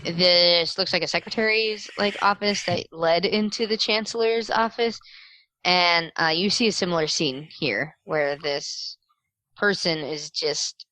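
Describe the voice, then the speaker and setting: A young woman improvising tabletop game narration as game master, describing scenes in a casual, halting way. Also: on the low side, pushed and bright, dry recording, rough recording.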